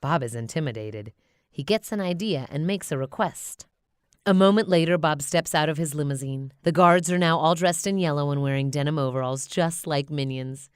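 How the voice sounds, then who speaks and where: Female children's narrator: lowish, smooth voice, expressive scripted read-aloud, dry sound. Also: moderate pace, dry recording. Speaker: storybook narrator.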